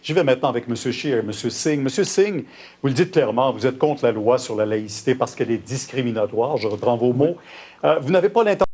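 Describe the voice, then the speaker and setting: A male debate moderator with a husky voice. He puts pointed questions to candidates briskly and moves the floor along. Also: medium pitch, darkish tone.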